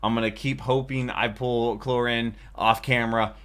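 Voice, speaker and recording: A man's voice: mid-range voice, level tone, moderate pace, fairly bright tone, smooth. An American man in his thirties giving casual, chatty, enthusiastic reaction commentary as a video host at home. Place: small furnished home room.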